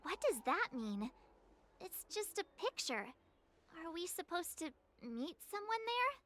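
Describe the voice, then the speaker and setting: A woman voicing a bright, curious young girl adventurer in a video game, perky and inquisitive. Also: extremely high pitch, very animated, a little slow, exaggerated voice. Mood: curious, puzzled.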